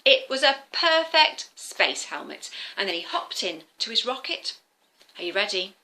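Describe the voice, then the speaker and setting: A woman telling a story to young children in an animated, interactive way, often turning to the listeners with questions and simple explanations. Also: mid-range voice, a little slow. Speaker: children's storyteller, former teacher.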